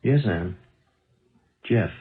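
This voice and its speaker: Pushy brother, lively old-radio delivery. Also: male voice, sits low, extremely slow, heavily acted. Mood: pointed. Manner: probing, insistent, colloquial. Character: meddling, persuasive brother, shrewd and insistent. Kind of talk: 1970s radio drama acting. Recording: dull, muffled old radio recording.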